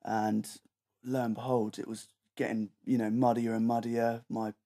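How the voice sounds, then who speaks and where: A man's voice: medium pitch, little pitch movement, normal speed, warm tone. A young adult British man telling an anecdote in a casual, slangy way, quoting his own thoughts as he goes.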